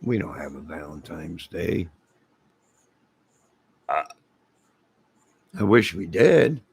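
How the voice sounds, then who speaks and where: A middle-aged man, hoarse from singing, rambling casually to viewers on a late-night livestream and greeting them one by one. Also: low-pitched, sing-song, slow, darkish tone. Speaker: livestream host.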